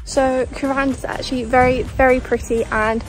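A young woman in her twenties chatting to her phone camera on a travel vlog, excitable and full of "like" and "literally", and tired from a long day. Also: high voice, moderate pace, faint music behind, rough recording. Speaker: travel vlogger. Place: hotel bathroom, then train and outdoor rainforest spots. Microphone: phone camera at arm's length.

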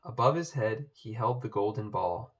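A man reading a children's storybook aloud, with expressive narration. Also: fairly low pitch, normal speed, muffled recording. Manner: expressive, animated. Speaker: storybook reader. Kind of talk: children's book read-aloud.

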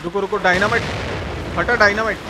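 A young man with a Bihari accent and a high-pitched voice livestreams a video game. He chats casually and colloquially with viewers, throwing in playful exclamations. Game sound runs as a clear noise under his voice. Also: steady tone, quick pace. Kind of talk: casual live gameplay commentary, chatting with viewers.

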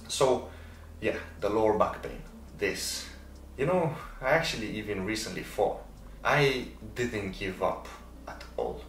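A man in his twenties with a Moldovan accent, a native Romanian and Russian speaker, talking casually and emphatically to camera as a fitness creator, repeating words for stress. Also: on the low side. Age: 20s. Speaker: fitness content creator and athlete. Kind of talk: casual explanatory talk to camera. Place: indoor room, decorated for Christmas. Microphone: small lapel microphone. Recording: roomy echo, distant mic, faint noise.

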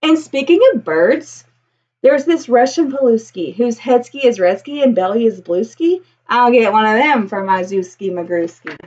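A woman's voice: fairly high pitch, lively intonation, normal speed, darkish tone, husky, highs cut off. A woman in her thirties reading a children's picture book aloud expressively, with a singsong, rhythmic delivery. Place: small indoor room with bookshelves.

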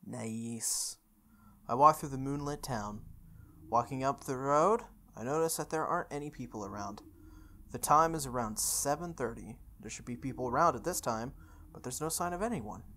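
A woman's voice: a bass voice, normal speed, a bit bright. A woman reading visual novel narration aloud, with casual, chatty asides.

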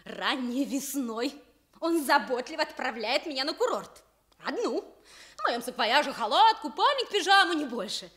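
An actress playing a gullible, theatrically lovelorn jilted woman, telling her woes with dramatic pauses in a radio comedy play. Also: high-pitched, very animated, fairly bright tone. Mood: wistful, dramatic. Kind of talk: stage comedy acting for radio.

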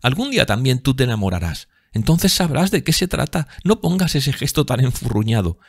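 Hombre haciendo de joven enamorada, aguda y soñadora. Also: male voice, medium pitch, sing-song, fairly quick, slightly rough, dry recording, studio quality, voice pushed up. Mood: playful, joyful. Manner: musical, exclamatory, gushing. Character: giddy, lovestruck young woman, a dreamy actress.

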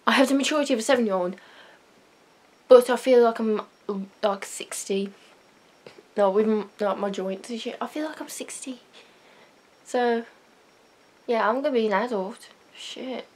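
A woman's voice: on the high side, moderate pace, long pauses. A teenage girl rambling in a casual, self-mocking vlog, talking into a webcam in her bedroom. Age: teens. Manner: rambling, self-mocking, loud sudden outbursts. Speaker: vlogger. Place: small bedroom, indoors. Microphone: webcam at desk.

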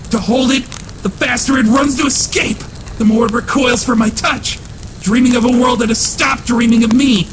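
A man's voice: very high-pitched, noisy background. A man playing a despairing, unstable young killer in a dramatic comic dub, brooding and intense. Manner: brooding monologue, intense outbursts. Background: music under the voice.

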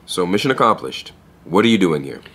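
Low-voiced, deadpan young store clerk. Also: male voice, fairly quick, slightly rough, exaggerated voice. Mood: wry, unimpressed. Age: young adult. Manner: deadpan, blunt, sardonic. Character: wry, blunt young pet store clerk, skeptical. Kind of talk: naturalistic audio play acting.

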